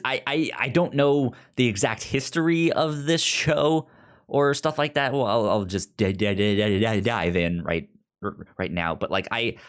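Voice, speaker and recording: A podcast co-host talking casually about a TV season, often stammering and repeating words while finding his point. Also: mid-range voice. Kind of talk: casual TV recap chat.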